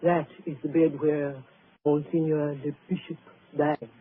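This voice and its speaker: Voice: mid-range voice, little pitch movement, a little slow, lightly acted. A performer playing a formal, foreboding French household servant in an old-time radio drama. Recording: muffled, faintly noisy vintage radio recording.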